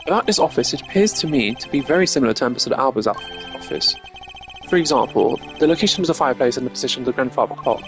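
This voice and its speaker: A performer voicing a composed, stern male prosecutor in a video game, speaking in a measured, formal, analytical way. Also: medium pitch, expressive, music in the background, close to an everyday voice. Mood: inquiring, formal. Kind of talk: video game character voice-over.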